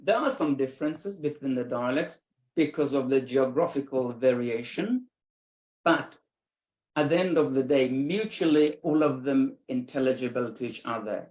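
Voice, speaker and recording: A man with an Iranian accent presents research in English, a native Lari speaker explaining his field in steady, unhurried phrases. His voice sits in the middle range for a man. The sound carries a roomy echo, as on an online conference call. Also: mid-range voice. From Iran. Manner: explanatory, steady lecturing with pauses between phrases. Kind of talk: academic conference talk. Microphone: video call.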